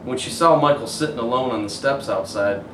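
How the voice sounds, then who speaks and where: A man reading a children's picture book aloud as a coach would, pausing to point out the pictures. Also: medium pitch, fairly bright tone. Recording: roomy, distant mic, faint hiss.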